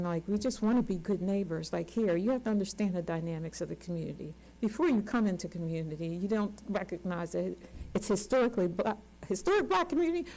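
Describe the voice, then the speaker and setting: Lady in her 60s talking on a panel, earnest, keeps repeating stuff for emphasis, mid pitch. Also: darkish tone, poor audio. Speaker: community organizer on a panel. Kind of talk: unscripted panel remarks. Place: conference panel.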